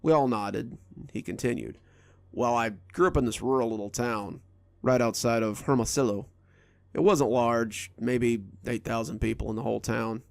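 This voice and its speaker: A man narrates a horror story in a steady, low-key delivery. His voice has a rough, gravelly edge. The sound is dry and close, with no room echo.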